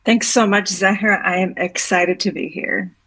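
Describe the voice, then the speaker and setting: A woman's voice: mid-range voice, steady tone, normal speed, a bit bright. A woman, answering interview questions reflectively, with many fillers and restarts. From Bosnia. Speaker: guest.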